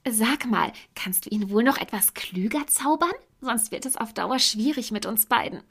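A woman playing a dreamy, bossy young princess in a children's fairy-tale audio play, chirpy and expressive. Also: fairly high pitch, normal speed, husky, full character voice. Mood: patient.

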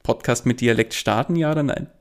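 A man hosting a podcast, asking his guest friendly questions in a relaxed interview. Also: mid-range voice, brisk. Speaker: podcast host.

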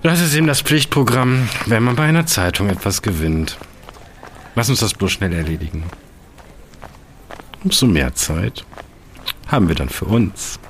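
Man acting a lively, easygoing fiancé. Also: on the low side, moderate pace, pauses often, a little hiss or hum. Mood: eager. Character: grateful, easygoing fiancé on a trip. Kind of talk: audio drama acting.

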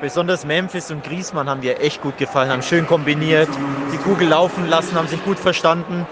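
A man's voice: fairly high pitch, steady tone, fast. A man working as a football journalist, commenting casually on a match from the press stand. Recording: noisy stadium with loud crowd. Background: crowd noise.

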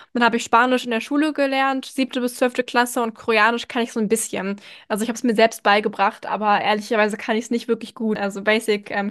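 A woman's voice: a little high, fairly bright tone. A young woman hosting an interview, friendly and agreeable, chatting casually and often affirming what she hears. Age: young adult. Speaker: interviewer, host.

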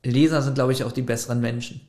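A man's voice: medium pitch, quick pace, echoey room. A man co-hosting a podcast, chatting casually and arguing animatedly while reviewing an audio drama. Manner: animated, argumentative, laughs often. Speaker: podcast co-host.

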